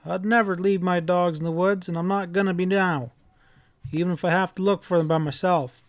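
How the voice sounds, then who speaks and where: Determined young country boy, acted by a man, desperate and pleading, pitched up, for a storybook. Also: steady tone, brisk, no room sound. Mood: tearful pleading. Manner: earnest, pleading.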